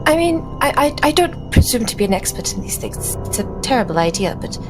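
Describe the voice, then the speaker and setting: A woman's voice: a little high, big pitch swings, brisk, over music. A woman playing a flustered, hesitant genteel madam who stammers and exclaims in dismay, in a tabletop roleplaying game. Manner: stammering, theatrically aghast exclamations.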